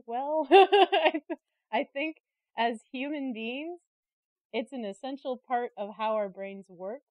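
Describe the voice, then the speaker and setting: Higher-voiced woman, slightly muffled recording. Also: no echo. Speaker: interview guest, creative director. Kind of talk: casual interview conversation.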